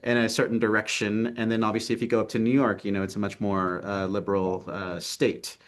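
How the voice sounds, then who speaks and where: A man's voice: on the low side, monotone, fairly quick. A young man from Texas, a film writer and actor, talking earnestly over a video call in a casual interview, with lots of ums and restarts. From Texas, United States. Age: young adult. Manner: earnest, hesitant, many fillers. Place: city apartment with street traffic outside.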